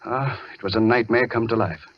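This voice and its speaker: An actor playing a grim, resigned captive doctor, speaking earnestly and articulately in an old radio thriller. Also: male voice, mid-range voice, steady tone, fairly quick, lightly acted. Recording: muffled noisy old radio recording.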